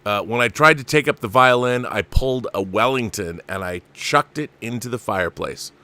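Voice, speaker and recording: A man's voice: mid-range voice, normal speed, pushed and bright, dry recording. A man hosting a casual live show, chatting enthusiastically with rambling asides and reading viewer comments aloud.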